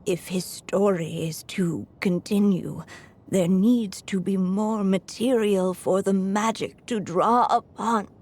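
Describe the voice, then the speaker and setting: A woman playing a frail but resolute elderly writer in a video game, measured and reflective, with a breathy voice that weakens into whispers. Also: lowish voice, a little mellow, a little hiss or hum. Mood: weary, determined. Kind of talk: dramatic video game voice acting. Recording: music under the voice.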